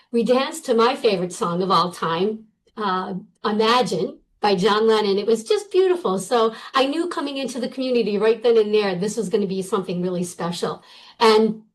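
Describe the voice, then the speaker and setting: A woman's voice: medium pitch, poor audio. A woman guest on a video call who tells her story in a bubbly, appreciative way. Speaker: summit guest speaker.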